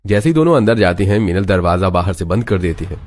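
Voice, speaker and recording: A man's voice: low-pitched, expressive, fast talker, a little mellow. A man narrating a scripted dramatic family story as a storyteller. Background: background music.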